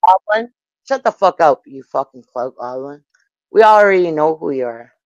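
A woman's voice: sits low, animated, normal speed, no echo, lo-fi sound. A woman hurling aggressive, profane taunts in a heated argument, talking into a phone. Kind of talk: heated insult exchange in a live audio chat room.

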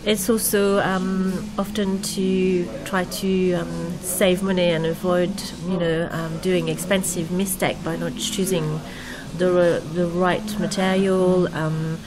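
A woman with a French accent who speaks in a low, level voice with very little melody. She chooses her words carefully and pauses often on um. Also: level tone, crowd noise, rough recording. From Paris, France. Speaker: interior designer, guest. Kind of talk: radio interview.

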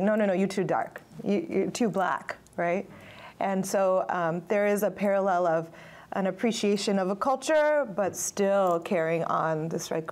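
A woman's voice: mid-range voice, darkish tone. A woman in her thirties whose first language is Gujarati, speaking on a panel in a studio with a lapel mic, reflective and hesitant with many fillers. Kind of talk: panel discussion. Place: studio stage with audience.

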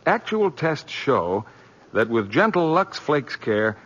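Muffled old radio, male announcer, animated intonation, scripted 1940s sales patter. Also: on the high side, takes it slowly, husky.

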